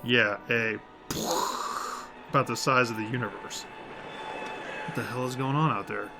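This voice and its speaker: A man's voice: medium pitch, fairly even intonation, measured pace, over music, lightly acted, poor audio. A man playing a sardonic, world-weary agent who leads a resistance, speaking emphatically in an audio drama. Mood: grave explaining. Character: gruff, sardonic male agent leading a resistance.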